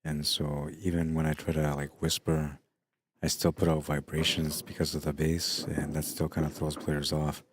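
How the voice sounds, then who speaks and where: Deep bass guy murmuring pool commentary, flat and low, kinda tired. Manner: soft, hushed, unhurried. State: tired late in the day. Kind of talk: quiet live sports commentary. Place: pool hall during a tournament.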